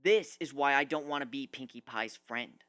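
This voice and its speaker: A man's voice: medium pitch, moderate pace, fairly bright tone, natural voice. A man voicing a proud, embittered young griffin warrior in a story reading, blunt and emphatic. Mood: bitter, defiant.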